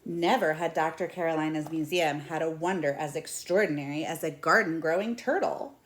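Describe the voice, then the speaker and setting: A woman in her thirties reads a children's picture book aloud at home. Her voice sits a little low for a woman. She phrases things deliberately and with expression, as a storyteller would. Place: small indoor room at home.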